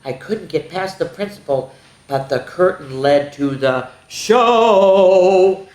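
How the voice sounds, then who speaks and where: A woman voicing a proud, excitable turkey who tells its tale in dramatic picture-book rhyme. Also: lowish voice, takes it slowly. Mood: excited, dramatic. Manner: boastful, dramatic rhyming storytelling. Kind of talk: rhyming picture-book read-aloud. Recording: roomy, echoing room sound.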